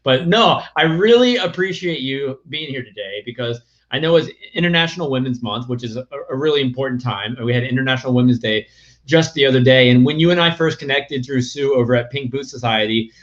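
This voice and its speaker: A man's voice: mid-range voice, fairly quick, fairly bright tone, dull audio. A man hosting a casual interview over a video call, eager and wordy, with plenty of filler phrases. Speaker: podcast host.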